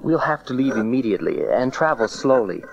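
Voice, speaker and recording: A gentle, devout carpenter talks to his wife in a dramatized Bible story. His voice moves widely in pitch, reassuring and gently humorous. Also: big pitch swings, a little fast, creaky, highs cut off, a crowd in the background. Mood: grave, wry. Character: gentle, devout young carpenter, protective expectant husband. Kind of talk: dramatized audio Bible acting.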